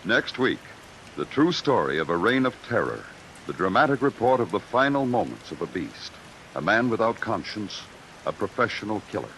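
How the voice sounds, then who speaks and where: A man's voice: lowish voice, sing-song, moderate pace, background noise. An American man announcing a suspense show in dramatic 1950s radio style. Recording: old radio broadcast recording.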